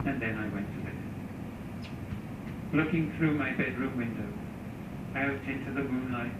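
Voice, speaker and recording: A man reading a literary story aloud in an expressive, lyrical, theatrical way. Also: medium pitch. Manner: lyrical, theatrical, acts out quotes. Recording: echoey, distant, loud background noise.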